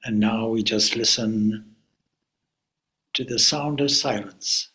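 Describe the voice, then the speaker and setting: A man guides a meditation in a fairly low voice with a bright edge. He speaks very slowly and deliberately, as a calm spiritual teacher. Short phrases are set apart by long pauses.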